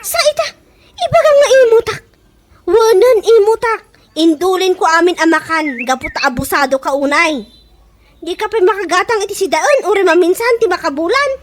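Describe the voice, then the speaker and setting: Woman acting very high-pitched, indignant scolding friend. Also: animated, normal speed, a little hiss or hum. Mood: furious, scolding. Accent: Ilocano. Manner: emphatic, scolding. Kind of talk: Ilocano comedy radio drama acting.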